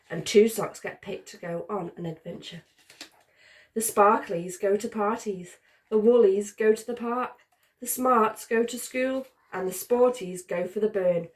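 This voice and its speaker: A woman's voice: medium pitch, darkish tone, rough recording. Woman reading a children's picture book expressively. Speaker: storybook reader.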